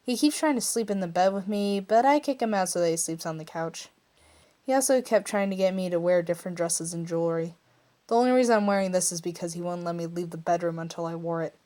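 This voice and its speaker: A woman's voice: fairly low pitch, fast talker, mellow, close to an everyday voice. A woman as a sassy young captive hero. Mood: exasperated.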